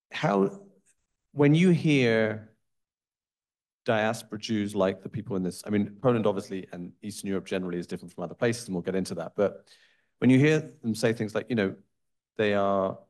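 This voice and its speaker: A man's voice: lowish voice, darkish tone. A man moderating a panel discussion, asking loose, rambling questions with asides.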